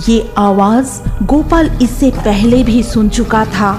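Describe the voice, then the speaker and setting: A woman narrates a scripted horror story for a cartoon. The voice is somewhat high and takes its time, with background music clearly audible. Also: slow pace, a little mellow.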